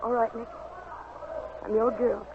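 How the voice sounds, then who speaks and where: A woman's voice: on the high side, flat delivery, unhurried, creaky, a little hiss or hum. An actress playing a devoted, urgent young woman in a wartime thriller, with earnest, dramatic 1940s radio delivery. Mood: resigned. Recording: muffled, phone-like 1940s radio recording.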